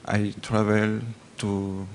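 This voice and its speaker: A man in his thirties from France with a French accent, haltingly asking a question at a talk, with many pauses and restarts. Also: fairly low pitch, monotone, slight background noise. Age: 30s. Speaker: audience member asking a question. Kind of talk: hesitant spoken question in a second language.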